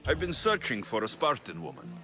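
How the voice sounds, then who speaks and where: An actor playing a blunt, determined Spartan mercenary in a video game, with a sardonic edge. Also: male voice, medium pitch, huge pitch swings, a little fast, music in the background. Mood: earnest. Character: blunt, determined male Spartan mercenary searching for his mother.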